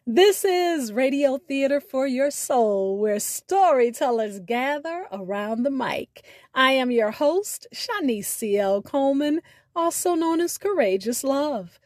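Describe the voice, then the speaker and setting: A woman hosting a podcast, reading a scripted sign-off in a deliberate, carefully enunciated way. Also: high-pitched, animated, darkish tone, no echo, clean studio sound. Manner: deliberate, spells out names and details. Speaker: podcast host.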